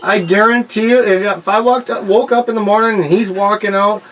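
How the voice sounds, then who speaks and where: A man in his late thirties co-hosting a podcast, swearing freely and firing back quick comebacks in crude banter. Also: sits high, steady tone, a bit bright, poor audio. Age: 30s. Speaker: podcast co-host.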